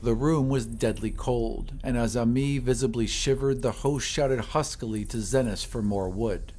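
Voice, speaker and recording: A man reading a scripted horror story in a measured, literary storytelling manner. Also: mid-range voice, a little mellow, a little hiss or hum. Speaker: audiobook narrator. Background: ambient sound effects.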